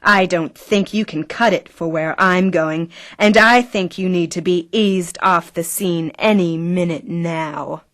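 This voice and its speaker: A woman's voice: on the low side, a little slow, few pauses, a bit bright, no echo, clean studio sound. A woman playing an arrogant, seductive clone in an audio drama, wordy and taunting in manner. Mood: scornful, taunting.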